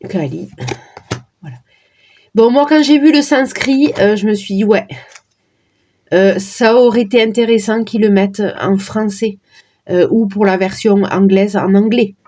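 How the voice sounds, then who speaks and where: A woman casually presenting her oracle card decks, talking in a hesitant way with many pauses and fillers. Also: mid-range voice, dark tone. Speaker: card reader vlogger.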